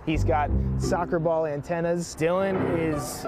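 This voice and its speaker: A man's voice: fairly high pitch, steady tone, unhurried. An American man speaking casually in an interview as a team representative. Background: music.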